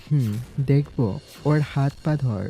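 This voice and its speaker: Guy doing a young gangster boss for an audio story, mellow n calm, cold blooded, music behind. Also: mid-range voice, takes it slowly, poor audio. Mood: coolly menacing. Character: young male gangster boss posing as waiter, coolly ruthless. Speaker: voice actor in an amateur audio story. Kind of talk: acted audio story dialogue. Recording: background music throughout.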